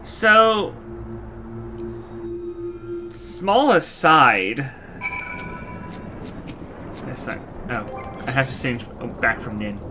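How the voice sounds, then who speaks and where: Guy streaming a game, mid-range voice, rambles and stumbles for words, music clearly playing behind him. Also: mid-range voice, big pitch swings. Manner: halting, searching for words, repeats himself. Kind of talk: casual rambling let's play commentary.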